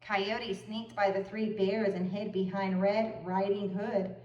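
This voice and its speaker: A woman storyteller reading a children's picture book aloud in an expressive narrating style. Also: mid-range voice, takes it slowly, muffled recording, slight background noise. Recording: roomy echo, far from the mic.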